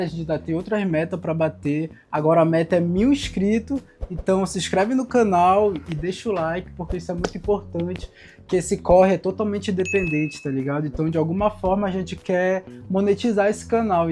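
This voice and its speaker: Energetic hype host. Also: male voice, sits high. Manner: energetic, slangy, hyping the crowd. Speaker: podcast host. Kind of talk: casual hype podcast hosting. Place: studio in a house.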